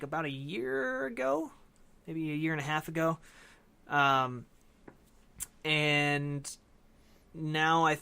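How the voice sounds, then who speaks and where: Man, fairly high pitch, bright forward voice, podcast co-host, informal, tentative explanations. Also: clean tone. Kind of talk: casual discussion of games.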